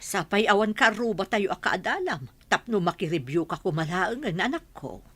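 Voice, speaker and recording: Woman acting a concerned mother, lively intonation. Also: medium pitch, moderate pace, husky. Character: patient, concerned mother advising her young child. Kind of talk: Filipino comedy radio drama acting.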